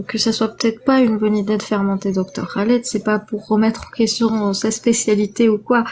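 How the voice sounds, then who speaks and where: A woman's voice: on the high side, darkish tone, a little hiss or hum. A woman on a live online voice call giving earnest feedback, explaining her point at length with fillers. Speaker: debate panelist giving feedback. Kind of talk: casual live-stream discussion.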